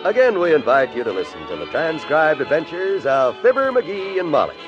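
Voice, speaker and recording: Male 1950s radio announcer, lively formal read, dull old recording, music bed. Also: a little high, fairly quick. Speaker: show announcer.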